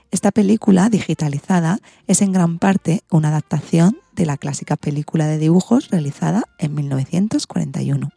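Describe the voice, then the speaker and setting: Woman host with a spain accent, low mellow voice, bit of creak, reading her segment. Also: dry recording. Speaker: radio programme host. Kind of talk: scripted radio segment narration.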